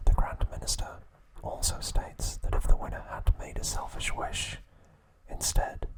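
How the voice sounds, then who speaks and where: A man hosting an ASMR video, recapping a story in a soft, unvoiced whisper. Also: unhurried. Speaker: ASMR host.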